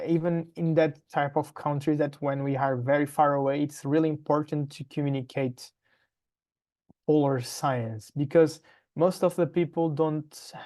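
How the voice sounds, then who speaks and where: Young male podcast guest, Portuguese accent, unhurried and halting delivery, mid-pitched voice, conversational. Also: a little mellow. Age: young adult. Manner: halting, searching for words, repeats phrases. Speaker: podcast guest, polar science PhD student. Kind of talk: conversational interview answers.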